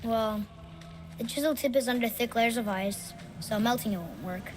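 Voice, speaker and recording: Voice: mid-range voice, normal speed, over loud music, natural voice. A performer playing a curious, earnest young boy adventurer who asks many questions in a video game. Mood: puzzled, thoughtful. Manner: inquisitive, questioning. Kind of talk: naturalistic video game acting.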